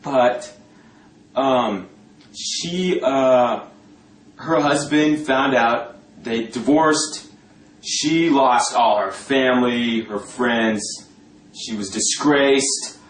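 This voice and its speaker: A man telling a personal story informally in a sardonic, gossipy, coarse-mouthed and animated way. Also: mid-range voice, very slow. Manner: animated, breaking often into laughter. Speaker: storyteller talking about a past religious community. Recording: roomy echo, distant mic, faint noise.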